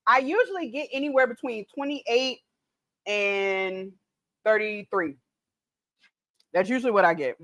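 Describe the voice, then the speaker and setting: A woman's voice: mid-range voice, a little slow, long pauses, a bit bright. A woman, chatting casually on her cooking livestream, reading viewer comments aloud and laughing easily, a little tipsy. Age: late 30s. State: tipsy from mimosas.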